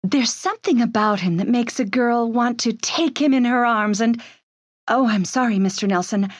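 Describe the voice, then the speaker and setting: An actress playing a sweet, adoring young secretary, demure and tender, in a comic-book drama. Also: female voice, fairly high pitch, normal speed, no room sound, close to an everyday voice. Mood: tender, pitying. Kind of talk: dramatized comic-book read-along acting.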